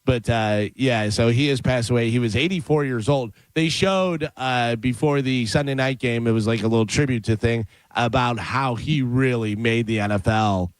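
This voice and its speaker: A middle-aged man from Chicago hosting a radio show, telling animated stories and reading headlines between jokes. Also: medium pitch, moderate pace, hardly any pauses, clean tone, no room sound. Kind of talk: casual morning radio banter. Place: radio studio. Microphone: studio microphone.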